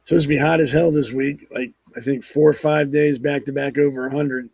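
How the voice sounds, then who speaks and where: A man's voice: medium pitch, steady tone, fairly quick, darkish tone, husky, no room sound. A middle-aged man with a cold, hosting his own talk show in a blunt, rambling, sarcastic monologue. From Maryland. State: hoarse from a cold. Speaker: podcast host. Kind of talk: casual opinion monologue.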